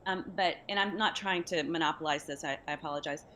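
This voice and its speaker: A woman's voice: low voice, fast, a bit bright, slight background noise. A woman taking part in an online session, casually asking questions in a polite, apologetic way. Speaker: audience member asking questions.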